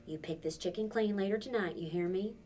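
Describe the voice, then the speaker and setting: A woman reading a storybook and voicing a gruff but caring countrywoman, blunt and commanding. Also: medium pitch, a little fast, dark tone, distant mic, soft background music, natural voice. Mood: firm. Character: gruff, caring, practical older backwoods woman.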